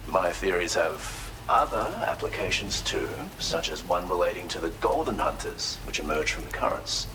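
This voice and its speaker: A man's voice: deep voice, moderate pace, noisy background, close to an everyday voice. An actor playing an eccentric, courteous male researcher and healer in a video game, speaking in a theatrical, formal, effusive way. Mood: earnest, explanatory. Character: eccentric, courteous male tar therapist, enthusiastic self-taught researcher. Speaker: non-player character in a video game. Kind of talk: expressive video game character dialogue.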